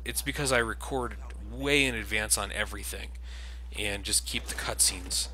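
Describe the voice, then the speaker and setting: Low-voiced man, sardonic game commentary. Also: moderate pace, pushed and bright, a little hiss or hum. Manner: sardonic, complaining, sweary. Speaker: let's play commentator. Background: video game sounds.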